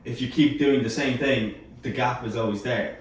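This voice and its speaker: A man's voice: medium pitch, fairly even intonation, moderate pace. A man in a casual group discussion, explaining his points with examples. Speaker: panel member. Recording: echoey room, distant mic, faint noise.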